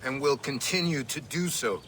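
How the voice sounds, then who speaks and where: An actor playing a strict, composed male assassin trainer in a video game, dry and measured. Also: mid-range voice, lively intonation, fairly bright tone, close to an everyday voice. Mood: disapproving. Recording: faint outdoor background noise.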